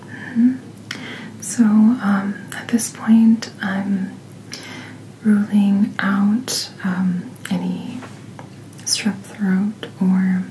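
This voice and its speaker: Whispering, slow-paced woman in soft ASMR roleplay. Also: medium pitch. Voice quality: soft, breathy, mostly whispered. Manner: gentle, unhurried, reassuring bedside manner. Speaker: ASMR performer playing a doctor. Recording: roomy sound, clear background noise.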